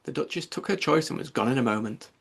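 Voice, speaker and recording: A man's voice: mid-range voice, lively intonation, fast talker, creaky, slightly rough. A man narrating a children's storybook as a scripted audiobook reading.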